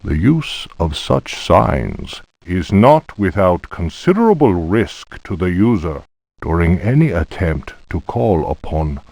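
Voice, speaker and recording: An actor playing a solemn reader of an occult grimoire, narrating in a grave, deliberate, theatrical way. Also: male voice, low-pitched, sing-song, creaky, a little mellow, dry recording, heavily acted. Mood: solemn, ominous. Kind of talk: theatrical scripted book narration.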